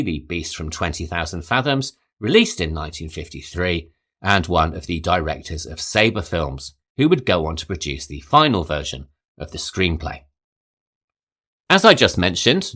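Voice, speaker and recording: Deep-voiced man narrating film history. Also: a bit bright. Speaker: podcast host. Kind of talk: scripted narration.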